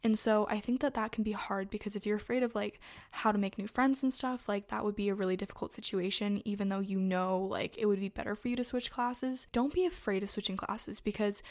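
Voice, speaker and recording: A woman's voice: mid-range voice, steady tone, fairly quick, hardly any pauses, a little mellow. A young woman giving casual, reassuring advice to viewers, talking in a chatty way full of fillers. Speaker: advice vlogger. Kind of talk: casual advice chat, reading viewer messages.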